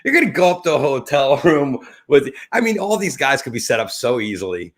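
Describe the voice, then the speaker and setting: A man with a New Jersey accent hosting a live webcast, improvising a rambling, tongue-in-cheek intro in an animated, scattered, stop-and-start way. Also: medium pitch, a little fast.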